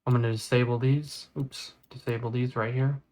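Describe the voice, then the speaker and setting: A man giving a casual step-by-step software tutorial, informally thinking aloud as he works. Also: mid-range voice, level tone, moderate pace. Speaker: tutorial creator. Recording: clear background noise, mouse clicks.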